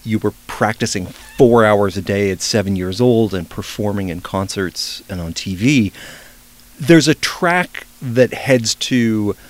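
A man hosting a book interview, asking prepared questions in a measured way. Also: on the low side, dry recording. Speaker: interviewer host.

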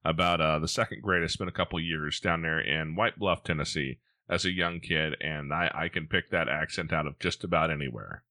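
A man's voice: rumbling low, fairly quick, few pauses, pushed and bright. A man with an American Midwest accent hosting a podcast in a casual, chatty interview style. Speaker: podcast host.

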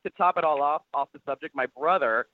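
Campy drag guy talking a mile a minute, slightly high voice, dry tinny call sound. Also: no room sound. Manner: rapid, campy and sassy, heavy slang. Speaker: guest drag performer. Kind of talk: casual podcast chat. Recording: tinny phone-like line, dry.

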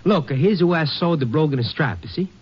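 Chatty immigrant cobbler, broken English. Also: male voice, on the high side, lively intonation, normal speed, husky. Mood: talkative, matter-of-fact. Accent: foreign. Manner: broken English, talkative and insistent. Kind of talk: 1950s radio drama acting. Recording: muffled vintage radio recording.

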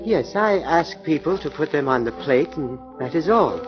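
A man's voice: fairly high pitch, animated, normal speed, music in the background, close to an everyday voice. Nervous waiter for an old radio drama, stammering and eager to please, played by a man, muffled broadcast sound. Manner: stammering, hesitant. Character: nervous foreign male waiter, timid and eager. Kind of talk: 1940s radio acting.

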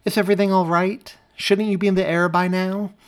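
A man putting on an excited, fretful grandma. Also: high-pitched, a little fast, clear, resonant tone, voice pushed up, lightly acted.

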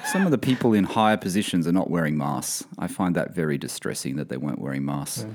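Croaky deep-voiced man hosting a podcast. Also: fast. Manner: relaxed, rambling, many fillers. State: croaky, coming down with something. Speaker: podcast host. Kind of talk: casual podcast chat. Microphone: podcast mic over video call.

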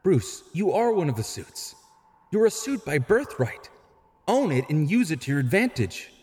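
An actor playing a frank, principled younger vigilante in an audio drama, earnest and articulate. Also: male voice, on the high side, sing-song, moderate pace, darkish tone. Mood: insistent. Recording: long hall reverb.

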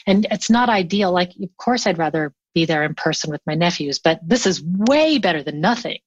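The enthusiastic, chatty woman invited onto a podcast, telling anecdotes in a lowish voice over a slightly dull connection. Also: animated, fairly quick, slightly rough, dry recording. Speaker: interview guest; remote collaboration workshop teacher. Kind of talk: casual conversational interview.

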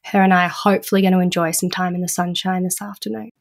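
A woman hosting a podcast, croaky and blocked up with a head cold, chatting casually and laughing at herself. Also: on the low side, little pitch movement, fast. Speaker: podcast host. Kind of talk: casual chat. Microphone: remote recording.

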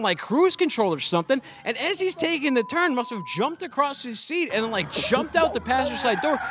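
Lively high-voiced man, podcast host. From Massachusetts. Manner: animated and jokey, fast filler-laden talk. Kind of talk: casual chat.

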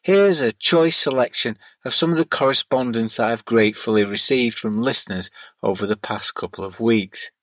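Gentle Bolton-accented man, dry sound. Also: mid-range voice, normal speed, no room sound. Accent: Bolton, northern English. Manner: gentle, wordy. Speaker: podcast host. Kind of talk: scripted monologue, reading listener mail.

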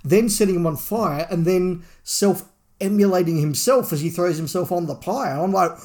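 A man's voice: sits high, moderate pace, a little mellow. Guy on a podcast talking books, casual, kinda circles back on his words as he goes. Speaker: podcast co-host. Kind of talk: casual book review discussion.

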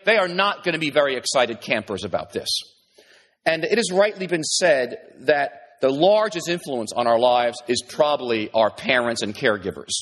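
Husky-voiced man preaching conversationally. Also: medium pitch. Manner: conversational preaching with many restarts and asides. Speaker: pastor. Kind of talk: sermon.

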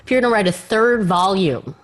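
A woman hosting an interview podcast in an effusive, expressive way. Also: mid-range voice, brisk. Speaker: podcast host. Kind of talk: warm interview chat and show sign-off.

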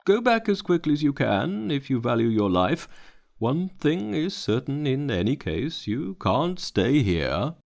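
A man voicing a stern, elderly male spiritual master in a storybook reading, scolding in a loud, deliberate way. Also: medium pitch, very animated, creaky, voice pushed up.

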